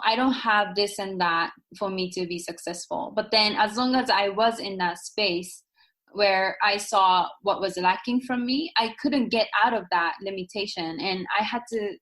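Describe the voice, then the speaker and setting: A young woman from Japan speaks English with a Japanese accent as a guest in a relaxed interview. She talks in a loose, casual way and often circles back with fillers and restarts. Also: mid-range voice. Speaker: guest author.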